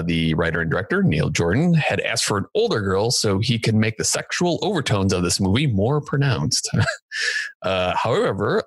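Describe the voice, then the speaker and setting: Low-pitched, animated male podcast host. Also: breathy edge, no echo. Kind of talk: casual film review discussion. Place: indoor home room, remote video call. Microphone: close podcast microphone with headphones.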